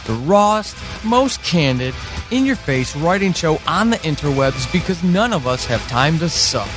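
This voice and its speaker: A man's voice: fairly high pitch, big pitch swings, moderate pace, music in the background. An American man hosting a podcast in a candid, informal conversational way, speaking directly to listeners. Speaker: podcast host and writer. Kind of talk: conversational podcast monologue about writing. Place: indoor office, dry close recording.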